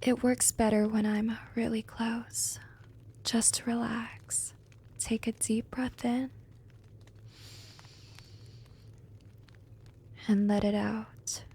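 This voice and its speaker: Casting: actress as gentle, teasing female vampire for ASMR roleplay; soothing, breathy, slightly high voice with some creak. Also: flat delivery, slight background noise. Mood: cautioning, soft, then hypnotic, coaxing. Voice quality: soft, breathy, often whispering. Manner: slow, soothing, coaxing.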